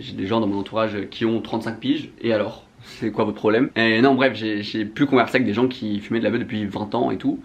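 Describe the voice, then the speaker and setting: A young man from France speaks at a very quick clip in a slightly low voice. He has a French accent and talks as in a casual confessional vlog, frank and slangy, readily poking fun at himself. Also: lo-fi sound. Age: young adult. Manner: candid, self-mocking, slangy. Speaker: vlogger.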